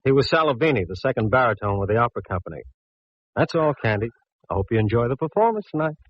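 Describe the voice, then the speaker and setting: An actor playing a plain-spoken, ribbing police detective in 1940s radio drama style. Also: male voice, mid-range voice, big pitch swings, fast, background noise, lightly acted. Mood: matter-of-fact. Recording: dull vintage radio recording.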